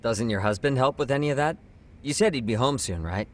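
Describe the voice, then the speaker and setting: An actor playing a casual, cheeky detective's partner, informal and inquisitive in a video game cutscene. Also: male voice, mid-range voice, brisk, lightly acted. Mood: breezy, curious. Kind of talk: English-dubbed video game cutscene acting.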